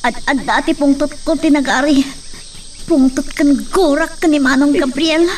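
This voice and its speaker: Actress as determined daughter, very high voice. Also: female voice, steady tone, normal speed, lightly acted. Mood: upset, indignant. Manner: emotional, resolute. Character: determined young daughter, fiercely protective of her father. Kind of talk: melodramatic radio drama acting. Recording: distant mic, faint background noise.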